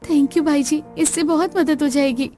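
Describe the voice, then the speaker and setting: A woman's voice: sits high, fairly even intonation, unhurried, mellow, faint music behind. A woman voicing a poor, earnest young daughter-in-law in a cartoon story, polite, pleading and determined.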